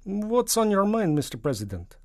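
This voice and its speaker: An indignant storybook Soviet premier, formal and deliberate. The pitch is lifted high and swoops widely, with drawn-out stresses and a dry, close sound. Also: male voice, big pitch swings, dry recording. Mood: brisk, suspicious. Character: emphatic, indignant Soviet premier on the hotline, grown man. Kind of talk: children's storybook character voice.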